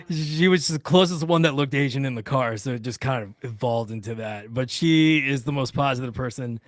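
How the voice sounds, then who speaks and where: A man's voice: mid-range voice, no room sound. Bantering man in casual panel chat, dry sound. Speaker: talk show panelist.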